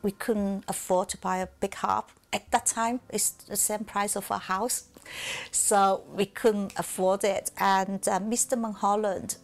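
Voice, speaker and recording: A woman's voice: mid-range voice, fairly even intonation, measured pace. Chinese-accented woman recalling her past. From southern China. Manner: candid, reflective, laughs softly now and then. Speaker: musician. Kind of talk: interview storytelling.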